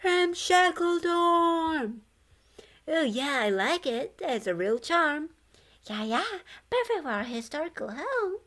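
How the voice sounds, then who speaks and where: Woman voicing a chatty, folksy ghost, lively swoops. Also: high voice, slow pace, voice pushed up, full character voice. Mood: cheerful, teasing. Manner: folksy drawling dropped-grammar speech. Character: chatty friendly folksy ghosts haunting a school.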